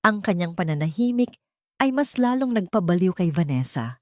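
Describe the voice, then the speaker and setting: Woman storyteller, lower pitch, lively intonation, dry close sound, solemn dramatic narration. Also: moderate pace, no room sound.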